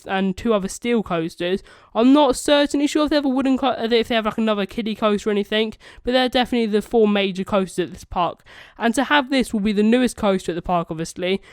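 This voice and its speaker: A man's voice: sits high, smooth, dry recording, clean studio sound. A young man giving casual, enthusiastic, rambling commentary as the host of a video channel. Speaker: YouTube vlogger, channel host.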